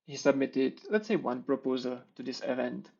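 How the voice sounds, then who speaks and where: A man who walks through a coding demo in a steady, patient way. His voice carries a gravelly fry. The recording sounds dull and lo-fi. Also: mid-range voice, moderate pace. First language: Czech. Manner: explanatory, steady walkthrough with small restarts. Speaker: conference speaker. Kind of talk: live-coding tech talk.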